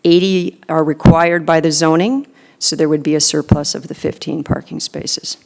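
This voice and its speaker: A woman with a Canadian accent, a planning consultant presenting a proposal at a council meeting in a measured way with many fillers. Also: low-pitched, fairly even intonation, gravelly, a little mellow. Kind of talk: formal presentation and answers.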